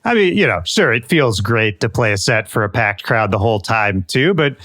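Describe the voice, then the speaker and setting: A podcast host with a slightly low voice, relaxed and agreeable, who chimes in and laughs along. He speaks close to a dry mic with no room sound. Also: moderate pace, dry recording. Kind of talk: casual podcast interview.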